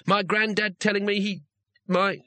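A man's voice: high voice, level tone, bright, forceful tone, no echo, voice pushed up. A man doing a flustered young wizard. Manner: quick, flustered, stumbling.

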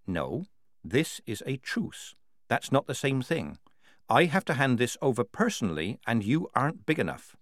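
A man's voice: mid-range voice, very animated, normal speed, a bit bright, dry recording, voice pushed up. A man voicing a young woman soldier in a storybook, plain-spoken and stubborn, with an understated, dry, firm manner.

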